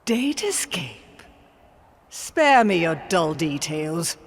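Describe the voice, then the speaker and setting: A woman's voice: lowish voice, sing-song, takes it slowly, a little hiss or hum, heavily acted. A woman voicing a haughty, imperious older evil sorceress in a video game, theatrical and grandly condescending, with archaic phrasing. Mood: disdainful. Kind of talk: video game cutscene voice acting.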